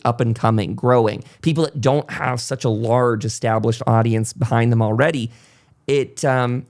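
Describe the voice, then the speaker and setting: A man speaks as a podcast host at a middling pitch. He talks in a loose, conversational interview style, effusive and wandering as he goes. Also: a little mellow.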